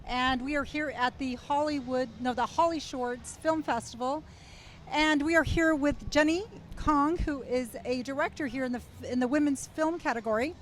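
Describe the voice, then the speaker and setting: A woman's voice: high-pitched, moderate pace, a little hiss or hum. A woman hosting an interview at a film festival, chatty and upbeat. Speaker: interviewer host.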